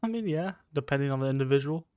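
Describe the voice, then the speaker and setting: A woman's voice: rumbling low, expressive, very fast, warm tone. A woman giving casual playthrough commentary on a game, dropping offhand, wry asides. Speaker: gamer reacting to a story quest.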